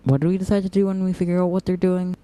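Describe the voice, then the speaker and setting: Guy acting a whimsical young operative dude, soft dark tone, mock-dramatic teasing, audio drama. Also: sits high, flat delivery, rapid-fire, clear, resonant tone, close to an everyday voice. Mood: playful.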